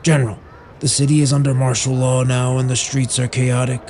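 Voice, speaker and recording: A man's voice: medium pitch, flat delivery, brisk, darkish tone, a little hiss or hum, natural voice. A man as a worried, cautious royal aide. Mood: grave, worried. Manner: informative, cautious. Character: worried loyal aide reporting bad news. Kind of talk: fantasy audio drama acting.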